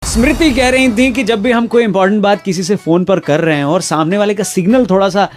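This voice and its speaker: A man's voice: high-pitched, a little fast. A man introducing a comedy prank segment as a radio host.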